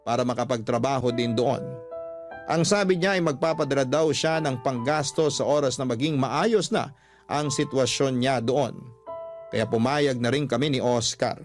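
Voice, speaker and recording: Male storyteller, medium pitch, scripted confessional narration, radio style, faint music underneath. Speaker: radio-style storyteller reading listener letters.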